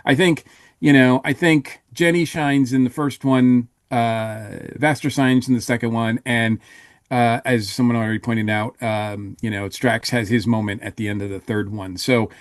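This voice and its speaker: A man's voice: mid-range voice, steady tone, moderate pace, dry recording, clean studio sound. A man talks through his opinions in a casual podcast discussion. His delivery halts and regroups as he thinks aloud, in a middle register with very little room sound. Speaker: podcast panelist.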